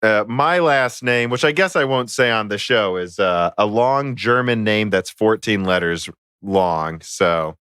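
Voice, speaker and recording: American man, podcast host, low voice, dry close sound, chatty rambling talk. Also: unhurried, a bit bright, clear, resonant tone, dry recording. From United States. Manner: chatty, rambling with frequent fillers. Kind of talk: casual podcast chat.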